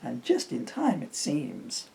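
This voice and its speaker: A man's voice: on the high side, wildly swooping pitch, takes it slowly, gravelly, dark tone, a little hiss or hum, exaggerated voice. A man voicing a friendly, grateful pirate in a children's story poem. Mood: delighted.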